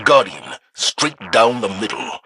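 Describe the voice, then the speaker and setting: A man's voice: fairly low pitch, expressive, unhurried, pushed and bright, a little hiss or hum. An actor playing a stern, concerned male military commander giving mission orders in a video game. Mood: urgent.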